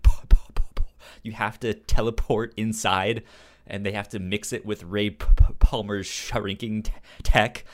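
A man co-hosting a casual comics discussion, stuttering on sounds and syllables in a halting, informal way. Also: lowish voice, level tone. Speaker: podcast co-host reviewing comics.

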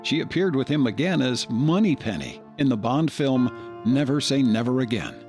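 A male podcast host with a mid-pitched, expressive voice reads scripted tribute narration. Faint music plays behind him. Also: moderate pace.

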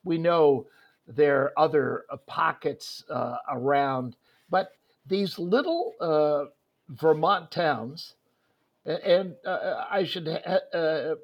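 A man's voice: on the high side, lively intonation, takes it slowly, vocal fry, lo-fi sound. A man hosting a sports interview, talking in a rambling way with many fillers and restarts. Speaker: host.

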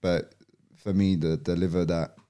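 A young man with a very low voice, guesting on a podcast. He is from Poland and talks in an easy, unhurried way, filling his pauses with "um" and "you know". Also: clean tone. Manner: relaxed, frequent "um" and "you know". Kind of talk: casual podcast conversation.

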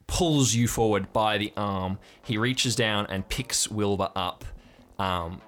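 Low-voiced man narrating an improvised story. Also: fairly bright tone. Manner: descriptive, building scenes aloud. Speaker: game master. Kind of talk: improvised narration of an audio drama.

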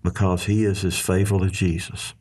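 A man's voice: sits low, level tone, normal speed, a little mellow, breathy edge. A man with a Southern US accent, talking about faith in an earnest, folksy way and often restarting his sentences. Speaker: ministry leader. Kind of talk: casual faith discussion.

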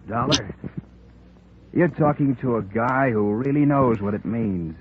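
A man's voice: medium pitch, measured pace, phone-quality sound, close to an everyday voice. A man playing a bitter, sardonic ex-convict who threatens coolly, in a 1950s radio crime drama. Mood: threatening. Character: menacing, bitter male ex-convict bent on revenge. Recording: thin, noisy vintage radio recording.

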